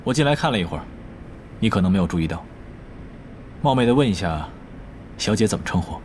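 A man acting a serious young esports team captain in an audio drama, speaking in a measured, earnest, formal way. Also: on the low side, animated, a little mellow, slight background noise, close to an everyday voice. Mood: polite, earnest.

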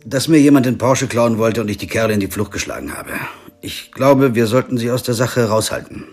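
An actor playing a wry, pragmatic lawyer in a radio crime drama, narrating and speaking in a dry, understated way. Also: male voice, medium pitch, lively intonation, fairly quick, a little mellow, faint music behind. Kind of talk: scripted first-person narration and radio drama dialogue.

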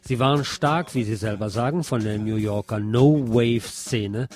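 A man's voice: mid-range voice, moderate pace, darkish tone. A man narrating a scripted first-person report as a reporter.

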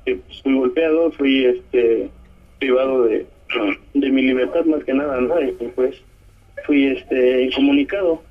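A man from Oaxaca with a southern Mexican accent gives a halting spoken testimony by telephone, often adding short tag questions. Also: mid-range voice, fairly even intonation. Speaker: detained community activist giving a statement. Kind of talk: spoken testimony by phone to a press conference. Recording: muffled, narrow-band telephone line.

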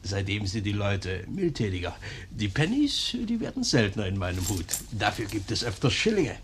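A man's voice: lowish voice, big pitch swings, moderate pace, slight background noise. Cheerful, swooping beggar man. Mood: gleeful, boastful. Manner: theatrical, chuckling, expansive. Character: cheerful, self-satisfied male London beggar, war veteran and father. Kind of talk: German radio play acting.

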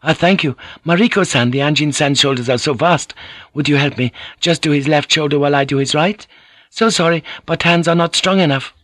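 A man's voice: on the high side, brisk, a bit bright, no echo, studio quality, pitched above the performer's own voice, lightly acted. A man voicing a young Japanese courtesan, a playful and gracious hostess with polite, coaxing questions. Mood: gracious, solicitous.

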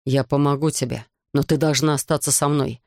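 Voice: medium pitch, fairly even intonation, fairly quick, creaky, a little mellow, dry recording, clean studio sound, close to an everyday voice. A narrator voicing a hard, pressing male agent in a thriller audiobook. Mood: urgent.

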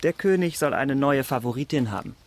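Man as travelling abbé with a soldier's past: formal, measured, guarded, mid-pitched, radio drama. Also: lively intonation, natural voice. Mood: conversational. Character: courteous man posing as travelling priest, ex-cavalryman, guarded. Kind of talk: German radio drama acting.

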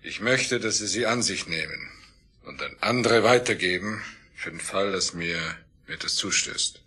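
Grandiose low-voiced obsessed scientist. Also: male voice, pushed and bright, slightly rough, full character voice. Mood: solemn, grandiose. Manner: grandiose, deliberate, intense. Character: obsessed, commanding middle-aged scientist and spaceship master. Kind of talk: dramatic audio play acting. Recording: orchestral music behind the voice.

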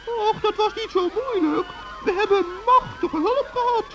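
A man's voice: very high voice, unhurried, a crowd in the background, pitched above the performer's own voice. A man voicing a small, cheerful, innocently teasing forest gnome in a children's radio play, chirpy and polite. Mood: cheerfully polite.

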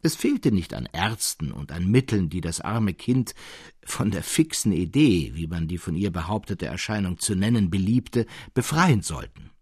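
A man reading a classic literary tale as scripted narration, in an expressive, precise storytelling manner. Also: sits low, normal speed, slightly rough, no echo, studio quality. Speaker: audiobook narrator.

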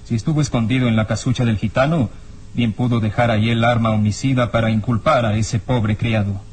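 An actor playing a serious, worried police inspector in a radio serial, with a sober, brooding, formal manner. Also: male voice, mid-range voice, fairly even intonation, brisk, slight background noise, natural voice. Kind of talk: radio serial drama acting.

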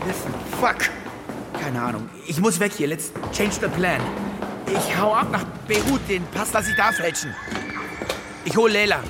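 A man's voice: sits high, normal speed, heavy background noise, close to an everyday voice. An actor playing an evasive, panicky reporter in a radio crime drama, speaking hesitantly and stumbling over his words. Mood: panicked. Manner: hesitant, stammering, curses under pressure. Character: evasive, panicky fake war reporter, a man. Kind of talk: naturalistic radio drama acting.

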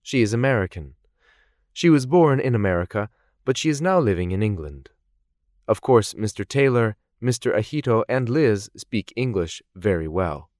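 A man reading scripted English course dialogues in a slow, clearly articulated, measured way. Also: mid-range voice, darkish tone, no echo, studio quality. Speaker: language course voice actor.

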